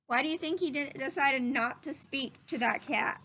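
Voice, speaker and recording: A woman's voice: high voice, normal speed, sharp tone. A woman reading a children's story aloud in a teacherly, explanatory way, as if speaking to young listeners.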